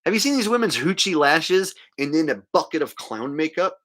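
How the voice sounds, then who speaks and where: A man's voice: a little high, very animated, normal speed, fairly bright tone. A man hosting a livestream, delivering a casual, crude, mocking rant in a monologue. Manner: mocking, crude, acting out mimicry. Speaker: livestream host.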